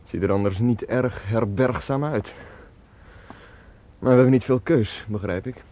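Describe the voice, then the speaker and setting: A man's voice: medium pitch, unhurried, dark tone, close to an everyday voice. An actor playing a well-to-do, curious male traveller in a Dutch radio play, speaking in a formal, wordy, commanding way. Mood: wry.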